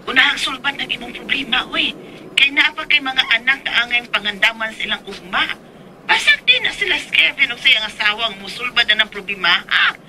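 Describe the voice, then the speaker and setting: A woman's voice: fairly high pitch, expressive, fairly quick, background noise, natural voice. A Filipino actress with a Cebuano accent playing an exasperated mother who nags and scolds her grown daughter in a radio drama. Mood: scolding. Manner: nagging, scolding.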